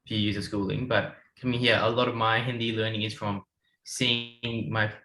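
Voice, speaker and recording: A man's voice: on the low side, flat delivery, normal speed, sharp tone, echoey room, slight background noise, low-quality recording. A man in his twenties from India, telling his story in a rambling casual way with many restarts. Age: 20s. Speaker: guest. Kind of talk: casual panel chat.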